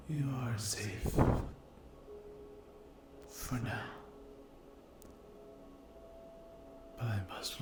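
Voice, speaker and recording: A man playing a menacing, hungry sleep paralysis demon in a whispered ASMR roleplay, breathy and deliberate, taunting the listener. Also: medium pitch, reverberant. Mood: ominous warning. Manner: very slow, deliberate, menacing, with long pauses.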